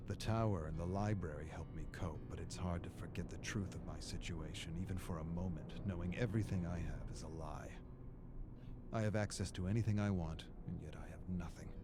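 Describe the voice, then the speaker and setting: Man acting a deep, brooding archivist over music. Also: close to an everyday voice. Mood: weary. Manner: measured, brooding, philosophical. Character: weary, scholarly male archivist of a dark otherworld. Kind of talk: scripted dramatic narration, journal entries. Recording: music behind the voice.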